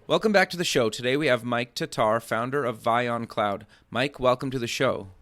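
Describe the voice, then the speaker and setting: A man's voice: mid-range voice, normal speed, fairly bright tone, faint music behind. A man hosting an interview show, conversational and inquisitive, with loosely hedged phrasing. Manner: hedging, inquisitive, says "kinda" often. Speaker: podcast and radio show host.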